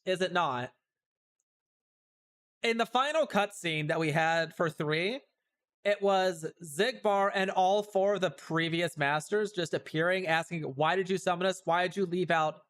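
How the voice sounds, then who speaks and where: A man's voice: high-pitched, a bit bright. A man giving livestream reaction commentary on a game, talking to himself and guessing aloud. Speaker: game streamer. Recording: music behind the voice.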